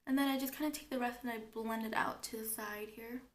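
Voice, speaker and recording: A woman's voice: on the high side, fairly even intonation, fast talker. A young woman with a cold and a sore throat, chatting her way through a makeup tutorial and explaining each step as she works. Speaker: beauty vlogger.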